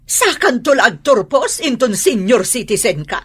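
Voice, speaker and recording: A woman's voice: a little high, animated, moderate pace, husky. A woman playing an exasperated, nagging mother in a radio drama, with a northern Philippine accent. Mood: scolding, exasperated.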